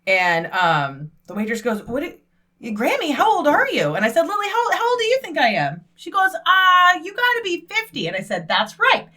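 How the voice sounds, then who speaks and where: A woman in her thirties co-hosting a podcast, chatting in a blunt, brash way with plenty of swearing and quick laughs. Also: high voice, wildly swooping pitch, pushed and bright. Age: 30s. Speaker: podcast co-host. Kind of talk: casual chat.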